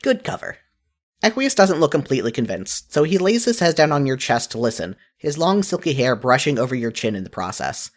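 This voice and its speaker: A narrator reading a second-person story aloud in an expressive style, as in a fan fiction audiobook. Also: mid-range voice, a little fast.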